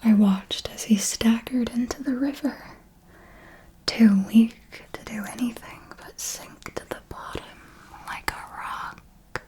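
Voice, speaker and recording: Lady doing a predatory vampire mentor for asmr, seductive breathy whisper, super slow. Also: fairly high pitch, dark tone. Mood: hushed, sinister relish. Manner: slow, lingering, deliberate phrasing. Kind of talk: whispered ASMR roleplay storytelling.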